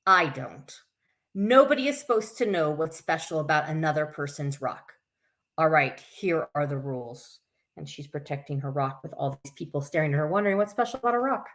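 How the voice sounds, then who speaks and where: A woman's voice: low voice, expressive, brisk, fairly bright tone. A woman hosting a live story time for children, reading aloud with informal, chatty asides. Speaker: camp staff story time host.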